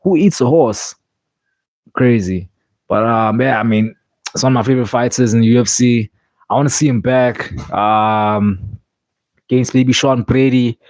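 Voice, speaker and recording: A man chatting casually about fights, halting and repeating himself with lots of "like". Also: mid-range voice, a little mellow, dry recording, poor audio.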